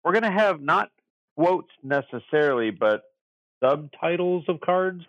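A man's voice: on the high side, lively intonation, a little slow, a bit bright, no echo, highs cut off. A man co-hosting a podcast in a casual, rambling way, full of fillers and restarts as he leads a community segment and trivia. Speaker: podcast co-host running trivia.

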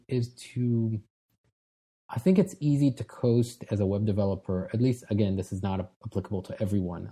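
A man in his thirties from Israel talks as an interview guest, his voice dark and mellow with a soft, breathy edge. He speaks in a relaxed, self-deprecating way and restarts his sentences often. His voice sits a little low for a man. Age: 30s. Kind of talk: casual interview talk.

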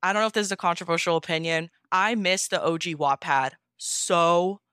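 Young woman guest on a podcast, bright smooth voice, super into it, says like a lot, casual. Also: on the low side, moderate pace, dry recording, studio quality. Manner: enthusiastic, gushing, peppers talk with "like". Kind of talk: casual chatty interview.

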